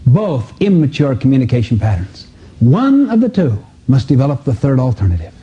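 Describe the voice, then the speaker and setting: A man's voice: medium pitch, big pitch swings, moderate pace, dark tone, husky. A man speaking as a motivational seminar teacher, emphatic and full of rhetorical questions. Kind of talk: live self-help seminar talk, teaching with acted-out dialogues. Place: seminar room before an audience. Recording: dull, muffled lo-fi audio.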